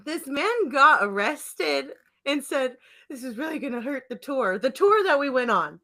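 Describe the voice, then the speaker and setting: Animated, emphatic woman podcast co-host. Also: sits high, unhurried. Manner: animated, emphatic, giggly, slangy. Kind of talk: casual banter between friends.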